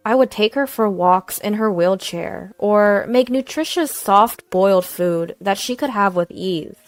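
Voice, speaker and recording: A woman's voice: mid-range voice, no room sound. A woman reading a scripted, dramatic first-person story as narrator.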